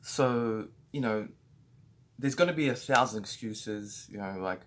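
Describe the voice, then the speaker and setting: A man's voice: lowish voice, measured pace, fairly bright tone, a little hiss or hum. An Australian man giving a casual, rambling training talk to his staff, full of fillers and restarts. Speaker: business owner training staff.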